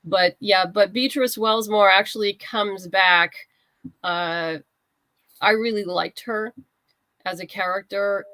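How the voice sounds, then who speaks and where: A woman's voice: lowish voice, pushed and bright, no room sound. A woman speaking conversationally as an interview guest, explaining her own creative work as a writer.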